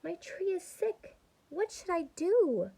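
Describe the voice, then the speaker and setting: An earnest little girl from a children's storybook, voiced by a woman reader. Her voice is raised and soft-edged, with a plaintive, questioning manner. She sounds worried and sincere. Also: very high-pitched, sing-song, takes it slowly, pitched above the performer's own voice, full character voice.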